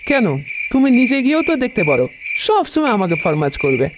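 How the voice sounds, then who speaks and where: A woman's voice: fairly high pitch, sing-song, a little fast, noisy background. A woman playing an older, bossy housewife and mother in a cartoon story, with an emphatic manner. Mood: nagging, annoyed. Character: older mother of a grown son; nagging landlord's wife.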